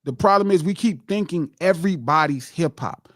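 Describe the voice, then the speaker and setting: A man's voice: fairly high pitch, normal speed, fairly bright tone. A man hosting a podcast in a heated, casual debate, preaching his point emphatically and repeating himself for effect. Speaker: podcast host.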